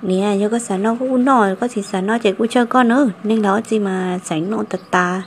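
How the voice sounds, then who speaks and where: A woman voicing a female character in an animated family drama. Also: medium pitch, normal speed, a little hiss or hum, natural voice. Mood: conversational. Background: music.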